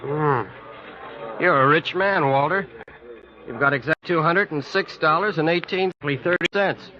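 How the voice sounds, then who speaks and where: An American actor playing a breezy, friendly bank teller in 1940s radio drama, chatty and familiar. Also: male voice, fairly high pitch, a little slow, natural voice. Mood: breezy, joking. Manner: chatty, muttering as he searches. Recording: dull vintage radio recording.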